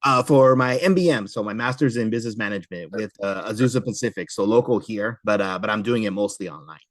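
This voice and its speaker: A man's voice: lowish voice, quick pace, dry recording, clean studio sound. A middle-aged American man hosting a casual podcast conversation. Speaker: podcast host.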